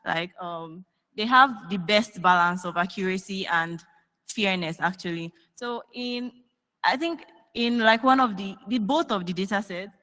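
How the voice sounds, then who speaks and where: A woman's voice: medium pitch, measured pace, pushed and bright. A woman giving a hesitant conference research talk with many fillers. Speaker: researcher presenting. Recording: long reverb of a hall.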